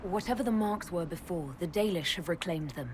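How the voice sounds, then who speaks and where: A female actor voices a steadfast young elf heroine for a fantasy video game. Her voice sits a little low and she talks with earnest composure. Faint background noise runs underneath. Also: a little fast, darkish tone, natural voice. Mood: questioning, earnest.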